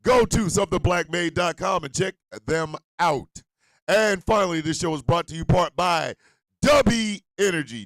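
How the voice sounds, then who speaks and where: A man hosting an internet radio show and doing comedic ad reads in a loud, rambling, jokey way. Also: fairly high pitch, dry recording.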